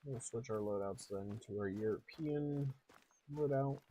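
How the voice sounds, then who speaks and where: A man's voice: mid-range voice, a little slow, warm tone, slight background noise, poor audio. A man giving casual, rambling live commentary as he streams a game.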